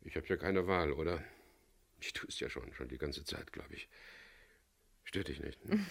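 A man's voice: rumbling low, normal speed, creaky, husky, heavily acted. An actor playing a tough, wry boat captain who narrates his own adventure tersely in a 1970s German radio crime drama. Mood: tender, subdued.

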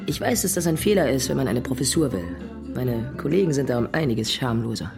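A sardonic, proud academic woman in a naturalistic radio drama. She speaks in a low register with a dry, pointed delivery, with music behind. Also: lively intonation, a little fast, gravelly, heavily acted. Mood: indignant, sardonic.

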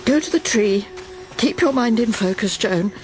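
A woman's voice: fairly high pitch, animated, moderate pace, a little mellow, slight background noise, close to an everyday voice. An actress playing a proper middle-aged Englishwoman in a radio drama, with an English accent. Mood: anxious. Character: smug middle-aged Englishwoman, lost and breaking down.

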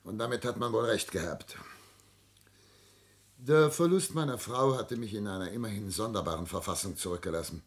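Older male melancholy, cultured widower in a radio drama; theatrical, deliberate, widely swinging intonation. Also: on the low side. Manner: theatrical, deliberate, literary phrasing. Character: melancholy, cultured ageing widowed patriarch, brooding and expansive. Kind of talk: German radio play acting.

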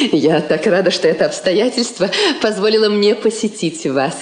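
An actress playing a genteel society countess, effusive and affectedly polite, in stage-style radio drama. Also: female voice, mid-range voice, sing-song, a little slow, a little hiss or hum. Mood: gracious, effusive. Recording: echoey old studio drama recording.

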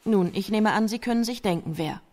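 Mid-pitched female voice, actress playing stern police inspector, formal, pointed, reproving, radio drama. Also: a little fast, a little mellow, lightly acted. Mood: cool.

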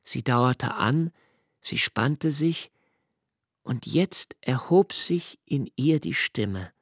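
An actor playing a brooding, introspective young male diarist, narrating a literary radio play in a slow, hushed, measured way. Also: medium pitch, animated, breathy edge, dry recording, studio quality, full character voice. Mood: reminiscing. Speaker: first-person narrator.

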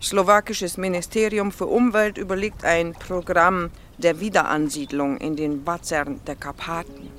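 A woman's voice: lowish voice, fairly even intonation, a little hiss or hum, natural voice. An actress playing a playful, flirtatious Slovak woman biologist who teases and halts while searching for words. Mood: earnest. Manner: teasing, halting word searches.

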